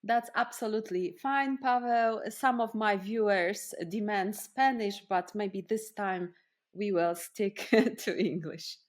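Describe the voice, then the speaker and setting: A woman's voice: mid-range voice, takes it slowly. A woman with a Polish accent hosting a conversational interview in a friendly, playful way. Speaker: podcast host.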